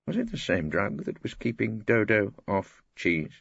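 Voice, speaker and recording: A man's voice: lowish voice, huge pitch swings, unhurried, creaky, a bit bright, husky, no room sound. A middle-aged English man reading first-person fiction aloud in a wry, theatrical storytelling manner, on a slightly dull recording. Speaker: audiobook narrator.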